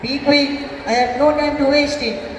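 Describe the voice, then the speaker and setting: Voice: high voice, fairly even intonation, unhurried. A performer in an amateur stage play acting a brash, aggrieved, insistent former pupil. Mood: demanding. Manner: insistent, demanding. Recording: echoey hall, far mic, noisy audience.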